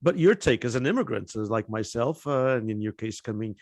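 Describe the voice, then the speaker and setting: Halting, thoughtful man moderating a panel. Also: medium pitch, animated, darkish tone, dry recording, studio quality.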